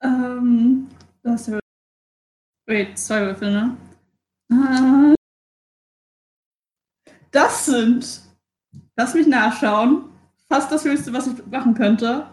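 High-voiced woman gaming in an echoey room. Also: very slow, long pauses, darkish tone. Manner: informal, mixes English slang into German. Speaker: tabletop game player. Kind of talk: casual game-table chat.

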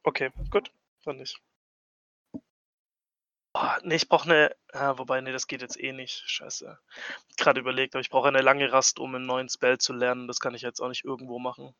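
A man's voice: mid-range voice, level tone, moderate pace, bright, forceful tone, no room sound. A man chatting casually as a player in a tabletop role-playing game, on a slightly dull recording.

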